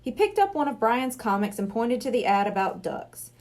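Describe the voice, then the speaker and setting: A woman reading a children's book aloud in an expressive narrating style. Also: a little high, moderate pace. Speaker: storybook reader.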